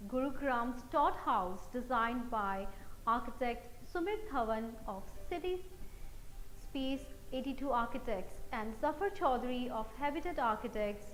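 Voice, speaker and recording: An echoey recording of a woman news presenter with an Indian accent. Her voice sits fairly high as she reads scripted headlines and reports, with faint noise behind her.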